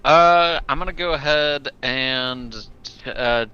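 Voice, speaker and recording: A man's voice: medium pitch, takes it slowly, bright, forceful tone, smooth. A man playing a tabletop game, talking casually and matter-of-factly as he thinks aloud. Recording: dull lo-fi mic, faint music behind.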